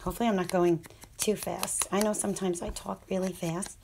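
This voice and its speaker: A woman's voice: medium pitch, fairly even intonation, a little fast, vocal fry. A woman with a scratchy voice demonstrating paper crafts, chatty and apologetic, often murmuring half to herself as she works. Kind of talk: casual hands-on craft tutorial.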